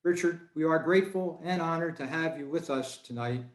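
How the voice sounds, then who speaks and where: A man's voice: fairly high pitch, normal speed. An American man giving a prepared, formal introduction of a guest at a club meeting. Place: indoors on a video call. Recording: echoey room on video call.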